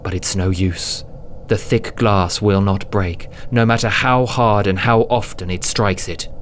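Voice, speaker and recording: A man with a low voice narrates a dramatic audiobook. His delivery is slow, deliberate and suspenseful, hushed at times. Faint ambient noise sits behind him. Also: fairly even intonation.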